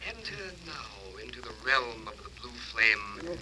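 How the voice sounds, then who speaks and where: A man's voice: medium pitch, sing-song, measured pace. An actor playing the solemn, menacing ghost of a murdered man in a 1930s radio horror drama. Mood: vengeful, menacing. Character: vengeful ghost of a murdered man wreathed in blue flame. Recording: noisy old 1930s radio recording.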